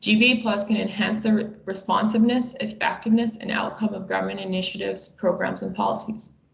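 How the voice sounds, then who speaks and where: A woman in her twenties from Bosnia and Herzegovina giving a scripted webinar presentation in a measured, explanatory way, occasionally stumbling over a word. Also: medium pitch, little pitch movement, creaky, a little hiss or hum. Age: 20s. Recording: roomy, echoey sound.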